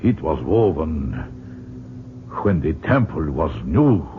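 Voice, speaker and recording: An actor playing a grave, worried male scholar in an old-time radio drama, with formal, deliberate phrasing. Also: extremely low pitch, big pitch swings, heavily acted. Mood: ominous, troubled. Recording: noisy, phone-like old radio recording.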